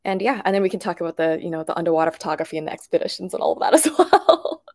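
A woman from Thailand speaking as an interview guest, telling personal stories in a chatty, expressive, conversational way. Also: fairly low pitch, racing, dry recording. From Thailand, grew up in Bangkok.

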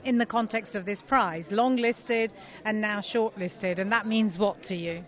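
A woman doing quick, friendly interviews with authors at a busy awards dinner. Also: mid-range voice. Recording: dull audio in a noisy crowd.

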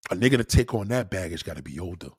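Man, blunt, profane, lecturing, lively pitch, dry close sound. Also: racing, slightly rough, no echo. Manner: emphatic, repeats himself for stress. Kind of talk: casual opinion monologue.

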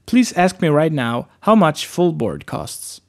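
Relaxed male teacher. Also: on the high side. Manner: relaxed, chatty, repeats phrases slowly. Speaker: language teacher. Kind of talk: casual spoken lesson.

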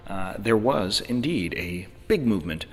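A man narrating a podcast episode in a measured, wry storytelling way. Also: on the low side, animated, big-room sound. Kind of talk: scripted narration.

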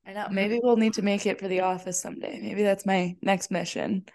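A woman from Ohio co-hosts a sports podcast with a bubbly, joking manner and laughs between her lines. Her voice is mid-pitched with a gravelly, fry-heavy texture. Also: steady tone, brisk, a little mellow. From Ohio, USA. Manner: bubbly, jokey, laughs while talking. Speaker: podcast co-host. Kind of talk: casual chat.

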